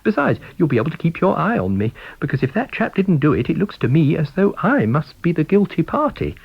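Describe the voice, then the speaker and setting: A man's voice: fairly high pitch, sing-song, fairly quick, creaky, husky, no echo, lo-fi sound, pitched above the performer's own voice, heavily acted. A man voicing a cheerful, courteous travelling salesman who plays amateur sleuth, polite and eager. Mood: cheerful, breezy.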